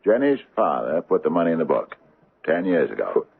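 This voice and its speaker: An actor playing a grave, guarded older father in a 1970s radio drama, measured and fatherly with slight hesitations. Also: low voice, very animated, vocal fry, breathy edge, heavily acted. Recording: dull lo-fi old radio broadcast.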